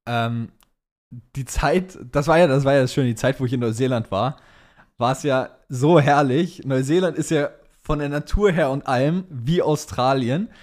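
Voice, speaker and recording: Slangy young man, mid-pitched voice. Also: moderate pace, darkish tone. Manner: slangy, mixes English phrases into German. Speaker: podcast co-host. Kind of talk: casual banter, answering listener questions.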